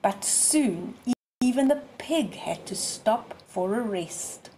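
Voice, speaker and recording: A woman in her sixties reading aloud expressively for young children, phrase by deliberate phrase. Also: on the high side, lively intonation, mellow, a little hiss or hum, poor audio. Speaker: storyteller.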